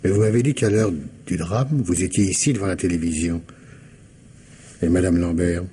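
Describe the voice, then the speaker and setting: An actor playing a probing, wry police commissioner in a French radio mystery, questioning insistently with feigned hesitations. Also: male voice, deep voice, moderate pace, mellow, natural voice. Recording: noisy old radio drama recording.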